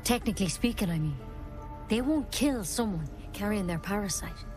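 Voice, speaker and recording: A woman playing an earnest, knowledgeable druid in a video game, speaking in a frank, measured, explanatory way. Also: mid-range voice, lively intonation, over music, natural voice. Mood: earnest, urgent. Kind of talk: video game dialogue acting.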